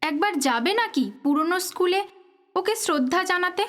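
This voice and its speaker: Very high-voiced caring wife. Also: female voice, expressive, normal speed. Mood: urging. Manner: chiding, familiar. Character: caring, frank wife of a journalist. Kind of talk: audio drama acting.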